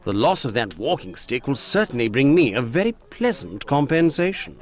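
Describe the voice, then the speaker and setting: An actor playing a smug, lecherous Victorian gentleman in a video game, insinuating and condescending. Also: mid-range voice, very animated, moderate pace, lightly acted.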